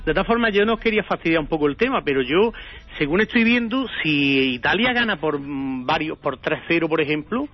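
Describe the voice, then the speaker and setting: A man with an accent from Spain calls in to a radio show, chatting casually. His voice is on the high side. The line is dull and lo-fi. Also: lively intonation, brisk. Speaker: radio phone-in caller. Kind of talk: casual opinion on a call-in show. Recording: dull lo-fi radio phone call.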